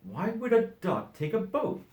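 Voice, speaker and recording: A man's voice: sits high, a little slow, reverberant, voice pushed up. Man playing an emphatic, easily exasperated storybook traveler. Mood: frustrated. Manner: emphatic, expressive. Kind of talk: children's storybook read-aloud.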